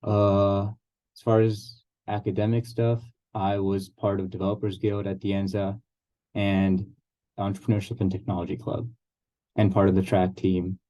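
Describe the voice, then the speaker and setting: A man's voice: fairly low pitch, flat delivery, normal speed, warm tone, low-quality recording. A young man introducing himself on a student panel, hesitant with many "uh"s. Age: young adult.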